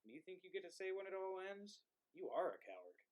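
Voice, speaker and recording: A man performs the ghost of a soldier and father in a play reading. His voice is slightly high for a man, bitter and accusing. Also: very animated, brisk, lo-fi sound.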